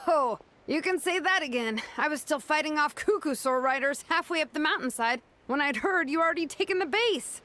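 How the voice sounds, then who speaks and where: A woman's voice: sits high, animated, normal speed, bright, forceful tone, a little hiss or hum. A woman playing a laid-back, self-assured young warrior in a video game, easygoing in manner.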